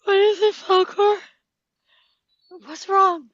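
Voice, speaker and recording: Woman as a high-pitched, eager boy hero. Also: fairly even intonation, slow, fairly bright tone, heavily acted. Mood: alarmed. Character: brave, eager, determined boy hero on dragonback. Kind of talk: script table read. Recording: dull, roomy, boxy sound.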